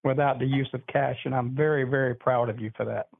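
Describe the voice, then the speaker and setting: An older man joining a radio interview as a guest, speaking in an earnest, warm-hearted way and clearing his throat now and then. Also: medium pitch, steady tone, a little fast. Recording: tinny phone line.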